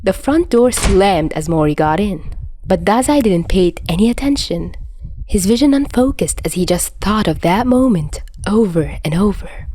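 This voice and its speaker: A woman narrating fan fiction as an audio reader, in an expressive storytelling style. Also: medium pitch, normal speed, no echo. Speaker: podfic narrator.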